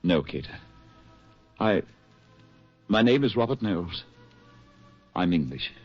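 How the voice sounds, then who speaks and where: An actor playing an exhausted, lovelorn spy on the run in a 1940s radio drama, with a halting, earnest delivery and a husky voice. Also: male voice, sits low, big pitch swings, faint music behind, full character voice. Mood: anguished, hesitant. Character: hunted English spy, exhausted, tender, in love. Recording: muffled, husky-sounding 1940s radio recording.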